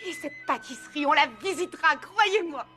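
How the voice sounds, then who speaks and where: Eccentric high-voiced cartoon schoolteacher. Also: female voice, expressive, normal speed, sharp tone, soft background music. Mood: determined, feisty. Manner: theatrical, exclamatory. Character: eccentric, determined female schoolteacher who scolds her bus. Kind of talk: French cartoon dub acting.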